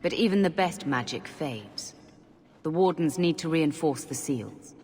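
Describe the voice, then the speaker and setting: A woman's voice: sits low, lively intonation, a little slow, darkish tone, a little hiss or hum. A woman playing a zealous Grey Warden unit leader in a fantasy video game, earnest, commanding and insistent. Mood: solemn persuasion. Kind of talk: dramatic video game acting. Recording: long hall reverb, faint music behind.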